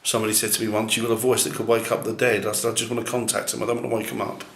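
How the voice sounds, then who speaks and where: Booming-voiced male ghost-hunting YouTuber, skeptical and chatty, recorded in a roomy echoey building with faint noise. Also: level tone, racing, creaky. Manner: loud booming voice; musing, skeptical. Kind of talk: casual on-location chat. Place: large echoey building, a former jail, at night.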